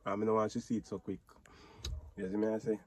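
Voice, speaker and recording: Relaxed Jamaican man in a car. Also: fast talker, slightly rough. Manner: relaxed patois talk, low-key. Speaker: taxi driver pranking his partner. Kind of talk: casual prank vlog chat. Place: inside a parked car, daytime. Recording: noisy car interior.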